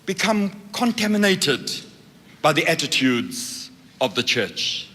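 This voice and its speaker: A man with a bright, fairly high voice gives an emphatic, rhetorical speech at a church conference. His voice rings in a reverberant hall. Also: very animated, slow, slight background noise. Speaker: church speaker.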